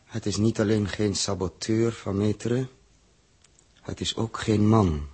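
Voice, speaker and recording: A man acting a grave, reluctant spaceship captain in 1960s radio drama, terse, halting and sombre. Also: on the low side, steady tone, darkish tone, close to an everyday voice. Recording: noisy old radio recording.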